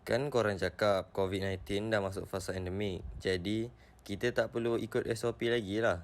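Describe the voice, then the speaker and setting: A man's voice: lowish voice, steady tone, a little fast, lightly acted. A young man with a Malaysian accent playing a casual housemate in an amateur animation. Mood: curious. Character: casual young male housemate just home from work. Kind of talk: scripted amateur animation dialogue.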